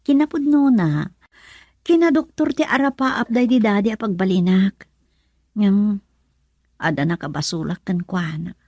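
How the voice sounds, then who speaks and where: A woman's voice: mid-range voice, a little slow, long pauses, mellow, no echo, lightly acted. A woman playing a meek, wistful young wife and trained nurse in an Ilocano radio drama.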